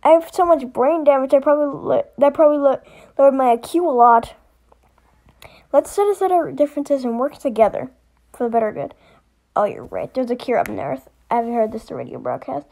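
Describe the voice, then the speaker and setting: Woman, higher-pitched voice, amateur voice acting, homemade animated story dialogue. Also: fairly quick, gravelly. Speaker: amateur voice-over performer.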